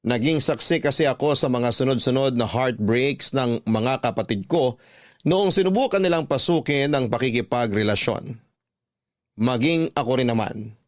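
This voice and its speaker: A man narrating a listener's letter as a scripted radio drama story in a measured storytelling manner. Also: mid-range voice, dry recording, studio quality.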